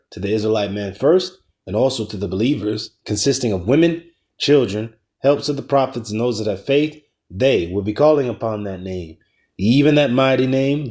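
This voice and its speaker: A man's voice: medium pitch, darkish tone. A man teaching a casual religious lesson, preaching in a loose, slangy way with some cursing and emphatic rises on key points.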